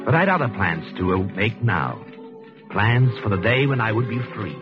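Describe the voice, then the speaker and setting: A muffled 1940s radio recording with music behind it. a calculating, cruel convict in a low, expressive voice. His delivery is educated and measured, smoothly insinuating and menacing. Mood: cold, calculating. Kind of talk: 1940s radio acting with narration.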